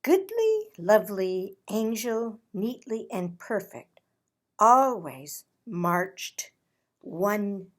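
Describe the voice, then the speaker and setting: A woman reading a children's picture book aloud as a storyteller, with deliberate, dramatic phrasing. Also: mid-range voice, sing-song. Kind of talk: expressive children's read-aloud.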